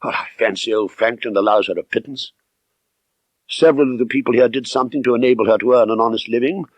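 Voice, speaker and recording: A man's voice: medium pitch, fast talker, no room sound, natural voice. A man voicing a genial country doctor in an audiobook, thoughtful and conversational as he passes on local knowledge. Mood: musing, gossipy. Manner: musing, conversational. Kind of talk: audiobook character dialogue.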